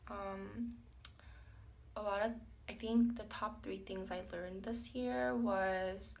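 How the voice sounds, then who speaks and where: A young woman with a raspy, hoarse voice chatting softly to a phone camera in a small tiled bathroom, with lots of fillers. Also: mid-range voice, steady tone, takes it slowly. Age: young adult. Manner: soft-spoken, many fillers, laughs often. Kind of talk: casual reflective chat to camera. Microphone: phone held at arm's length.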